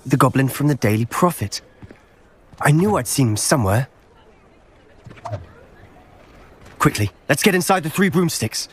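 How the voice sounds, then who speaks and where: An actor playing a friendly, inquisitive teenage wizard in a fantasy game. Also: male voice, medium pitch, expressive, brisk, a little hiss or hum, lightly acted. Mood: anxious, urgent.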